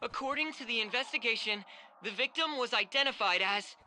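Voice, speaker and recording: An actress playing an excitable, dramatic TV news anchor in a video game, announcing crisply in a sensational broadcast style. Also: female voice, a little high, brisk, slight background noise, low-quality recording. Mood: urgent.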